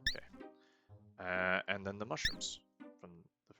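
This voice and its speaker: Gravelly low-voiced man playing a game. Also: expressive, measured pace, loud music behind the voice. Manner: rambling, hedging, thinking aloud. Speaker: let's play host. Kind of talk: casual gameplay commentary.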